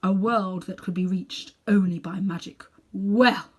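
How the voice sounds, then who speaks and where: A woman's voice: mid-range voice, fairly even intonation, measured pace, natural voice. A woman plays an elderly magician uncle from a children's storybook. He is sinister and full of himself, talking down to his listener with pompous, heavily stressed phrases. He takes offence easily. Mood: awed, proud. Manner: pompous, condescending, emphatic.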